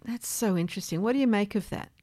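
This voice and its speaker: A woman's voice: medium pitch, fast talker, dark tone, dry recording, studio quality. A woman hosting a conversational discussion of research, with an inquisitive, thoughtful manner. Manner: inquisitive, thoughtful, affirming listener. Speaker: podcast host and interviewer.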